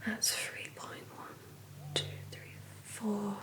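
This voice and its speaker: A woman whispering gently and breathily in an ASMR medical roleplay, calm, reassuring and unhurried like a clinician. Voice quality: breathy, mostly unvoiced whisper. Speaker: ASMR performer playing a calm clinician doing an ear exam. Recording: lo-fi recording with noise and clicks.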